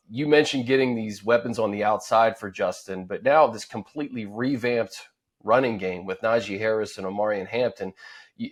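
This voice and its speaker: A man hosting a casual sports discussion, reflective and somewhat halting as he sets up his point. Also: on the low side. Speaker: sports show host.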